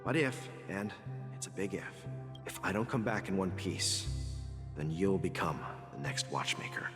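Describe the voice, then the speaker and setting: Very deep-voiced ageing watchmaker adventurer. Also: male voice, animated, normal speed. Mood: reassuring, resolute. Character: ageing male watchmaker, kindly determined old adventurer. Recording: game audio, long reverb, loud music.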